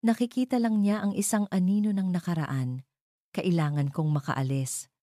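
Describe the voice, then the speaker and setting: A woman with a low, soft-edged voice reads dramatic first-person fiction. She moves through the story in measured, suspenseful phrases, and the recording is close and dry. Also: steady tone, no echo. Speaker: story narrator.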